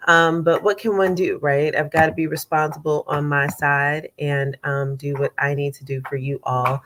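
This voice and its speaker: A woman host with a low voice and a congested, stuffy sound talks loosely to her audience. She rambles, repeats herself and fills pauses with ums as she warms into the show. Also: flat delivery, slight background noise. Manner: chatty, rambling, with many fillers and repeats. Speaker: conflict coach and show host. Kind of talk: casual live show chat.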